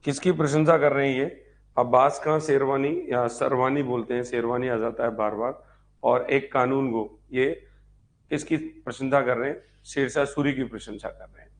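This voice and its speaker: A man's voice: medium pitch, steady tone, normal speed. A man teaching an online class, repeating points, quizzing his students and chatting with them. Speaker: teacher of an online history exam-prep class. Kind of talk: live lecture with chatty asides to students.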